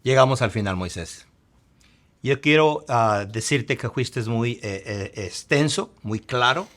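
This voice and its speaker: A man's voice: medium pitch, lively intonation, a little slow, fairly bright tone. A man, a pastor, co-hosting a faith talk, affirming his partner, reading scripture and closing the segment. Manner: affirming interjections, reads scripture, closes the segment. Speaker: pastor and co-host. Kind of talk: conversational teaching on faith.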